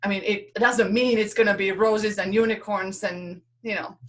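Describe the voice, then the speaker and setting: A woman's voice: medium pitch, steady tone, normal speed, fairly bright tone. Woman from Finland, reflective podcast guest. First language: Finnish. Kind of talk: reflective conversational storytelling.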